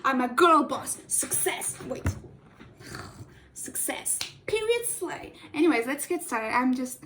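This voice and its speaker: A woman's voice: high-pitched, big pitch swings, takes it slowly, faint music behind. A young woman whose first language is Portuguese, casually rambling and joking while doing a makeup tutorial, often correcting her own words. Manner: rambling, playful, self-correcting, giggly. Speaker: cosplay makeup vlogger.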